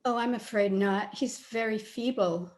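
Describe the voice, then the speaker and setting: An actress playing a puzzled, protective grown daughter in a radio play, guarded and concerned. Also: female voice, a little high, measured pace, a little mellow, close to an everyday voice. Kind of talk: old-time radio drama acting.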